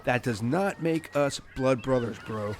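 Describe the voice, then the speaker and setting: A man's voice: medium pitch, a little slow, slight background noise, close to an everyday voice. A man playing a blunt, scornful, unimpressed castaway in a comedy audio drama.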